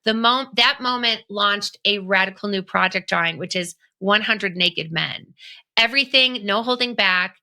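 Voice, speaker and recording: A woman hosting a podcast interview, effusive and quick to laugh, often trailing off mid-thought. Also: medium pitch, bright, forceful tone. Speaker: podcast host.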